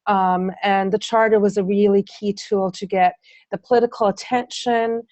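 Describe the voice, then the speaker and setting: A woman's voice: medium pitch, steady tone, moderate pace, a little mellow, no echo. A woman presenter answering audience questions in a webinar, speaking with many ums, uhs and restarts.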